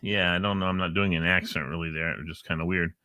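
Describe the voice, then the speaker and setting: A man's voice: low voice, steady tone, fast, pushed and bright, clean tone, no echo, clean studio sound. A man hosting a casual show, rambling playfully with jokey asides about times and weather. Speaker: show host. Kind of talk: casual live show chat. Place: Northern Virginia.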